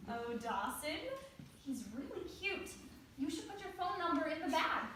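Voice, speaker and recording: A woman's voice: very high voice, expressive, moderate pace, fairly bright tone. An actress playing a perky, sassy young office matchmaker who teases her friends in a stage play. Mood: teasing, encouraging. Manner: perky, teasing. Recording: very echoey room, faint noise.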